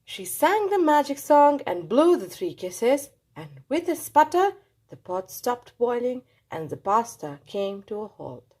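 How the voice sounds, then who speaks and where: A woman reading a children's story aloud in an expressive, dramatic style with emphatic phrasing. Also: fairly high pitch, a little slow.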